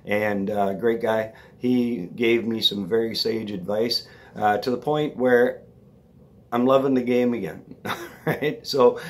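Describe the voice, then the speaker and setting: A man hosting his own vlog, slightly raspy from allergies, talking casually and rambling, often checking in with the viewer. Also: fairly low pitch. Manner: chatty, rambling, checks in with "all right?". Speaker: vlog host. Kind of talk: casual talk to camera.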